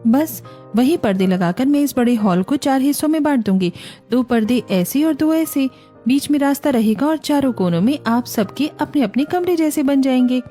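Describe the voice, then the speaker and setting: A woman plays a patient Indian eldest daughter-in-law in a family cartoon. She is soothing, practical and reassuring, in a fairly high, mellow voice over music. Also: animated, moderate pace. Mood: confident, practical.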